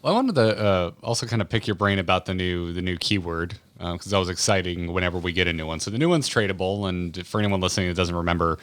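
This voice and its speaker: A man's voice: low voice, fast, few pauses, fairly bright tone. A man hosting a podcast, putting conversational interview questions to guests. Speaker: podcast host.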